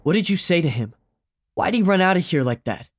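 A man's voice: high-pitched, expressive, a little fast, pitched above the performer's own voice. A man voicing a skinny, fiery, indignant teenage boy in an audiobook. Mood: alarmed. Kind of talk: audiobook character dialogue.

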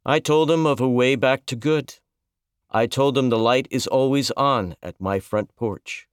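A man voicing a soft-spoken, gentle reverend. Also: medium pitch, smooth, dry recording, clean studio sound, pitched above the performer's own voice, lightly acted. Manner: soft-spoken, measured, consoling. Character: calm, gentle middle-aged male reverend.

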